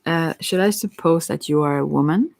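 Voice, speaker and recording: Halting, creaky-voiced tarot reader, very close and dry. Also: mid-range voice, a little mellow, no echo. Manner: hesitant, halting, apologetic, with frequent self-interruptions. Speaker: tarot card reader, ASMR host. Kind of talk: rambling ASMR tarot reading.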